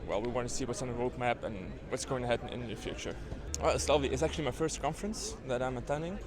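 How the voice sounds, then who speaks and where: A man's voice: mid-range voice, racing. A man from Belgium, answering interview questions in a friendly way with frequent ums. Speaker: interviewee, hosting company worker. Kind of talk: conference interview. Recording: busy crowd noise behind.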